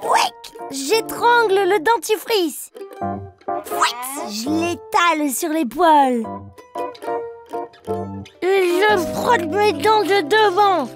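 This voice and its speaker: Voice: extremely high pitch, lively intonation, very slow, long pauses, exaggerated voice. A performer voicing an eager, playful little boy bear cub in a children's cartoon, with a childlike singsong manner. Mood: playful, proud. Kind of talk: children's cartoon dialogue and rhymes. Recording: music behind the voice.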